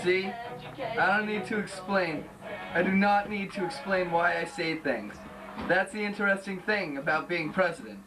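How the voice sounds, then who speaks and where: A man's voice: high voice, normal speed. A man reading out a quotation. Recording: distant mic, guitar music behind.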